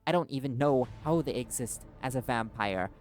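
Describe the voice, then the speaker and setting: A man's voice: mid-range voice, animated, normal speed, lightly acted. A man playing a defiant captive reporter, music behind. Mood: frustrated, dismissive. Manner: guarded, stubborn, argumentative. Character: defiant, confused male reporter held captive, loyal to partner. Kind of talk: dramatic audio roleplay acting.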